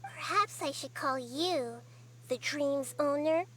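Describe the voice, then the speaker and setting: Brief: a very high-voiced, composed child-like deity, sharp and logical. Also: female voice, takes it slowly, slight background noise. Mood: calm. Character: wise young goddess, composed and perceptive. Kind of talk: game voice acting.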